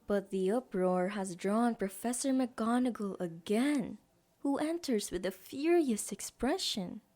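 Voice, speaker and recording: Slow, mellow woman narrator. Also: on the high side, expressive. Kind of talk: scripted story narration.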